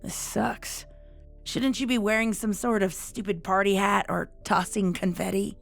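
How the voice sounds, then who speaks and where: A woman playing a blunt, sardonic young dead pony with a gravelly edge in a fan audio drama. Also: mid-range voice, fairly even intonation, measured pace, faint music behind. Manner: sarcastic, blunt.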